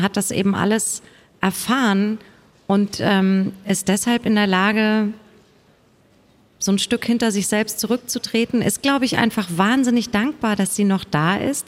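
A woman speaks as an author on a live panel, with a mid-pitched voice. She is reflective and halting, circling back over words in a conversational way. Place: live stage at a book fair.

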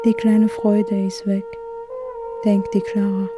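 Woman, slow pace, little pitch variation, short simple phrases, storytelling, prominent music behind. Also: monotone. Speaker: storyteller retelling a film. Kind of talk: slow, simple present-tense retelling for a radio feature.

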